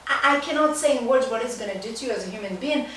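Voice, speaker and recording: A woman's voice: fairly high pitch, expressive, racing, fairly bright tone. An Israeli-accented woman guesting on a homemade podcast, speaking with emphatic enthusiasm across a very reverberant room with a faint hiss. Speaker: podcast guest, life coach. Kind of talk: casual podcast interview. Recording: distant mic, very echoey room, faint noise.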